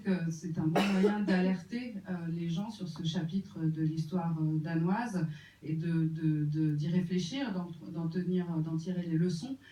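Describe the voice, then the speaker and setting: Halting interpreter in an echoey hall. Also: mid-range voice, dark tone. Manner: halting, many fillers. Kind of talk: consecutive interpreting. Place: cinema auditorium. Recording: muffled, echoey hall from far off.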